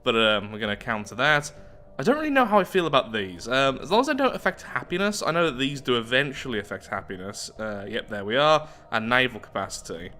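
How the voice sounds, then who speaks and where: A man's voice: medium pitch, big pitch swings, a little fast, sharp tone, a little hiss or hum. A man giving chatty roleplay commentary on a strategy game, thinking aloud as he goes. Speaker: gaming YouTuber host.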